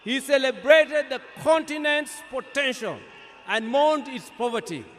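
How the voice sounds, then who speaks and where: A man with a Kenyan accent reading a formal, solemn scripted eulogy aloud, with emphatic, deliberate phrasing. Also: very high-pitched, fairly bright tone. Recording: long reverb of a large public address.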